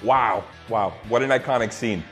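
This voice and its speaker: A man's voice: medium pitch, moderate pace, fairly bright tone. Man hosting a charity stream, faint music. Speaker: host of a charity table read. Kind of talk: casual hosting and fundraising appeal.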